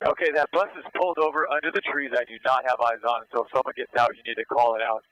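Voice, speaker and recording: Bright-voiced man on police radio, terse. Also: medium pitch, steady tone, quick pace, no echo, poor audio. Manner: terse, directive. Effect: police radio transmission. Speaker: police helicopter air unit officer. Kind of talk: police radio tactical callouts.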